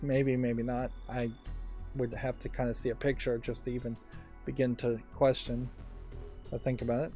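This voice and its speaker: A man doing casual gameplay commentary and reading aloud, halting as he thinks out loud. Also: medium pitch. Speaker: let's play host. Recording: quiet game music behind. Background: game music.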